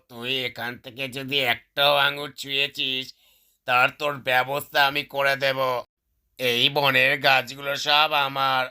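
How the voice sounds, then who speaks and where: A man voicing an angry, possessive fox in a cartoon, scolding and threatening with drawn-out words. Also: medium pitch, bright, forceful tone, smooth. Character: angry, possessive plump male fox guarding his orchard. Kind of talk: cartoon character voice.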